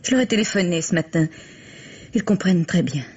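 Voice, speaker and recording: An actress playing a kind, devoted woman in a French mystery radio play, speaking in a gentle, obliging way. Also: fairly low pitch, animated, brisk, slightly rough. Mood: eager, tender. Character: kind, devoted woman helping a widower and child. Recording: dull vintage radio recording.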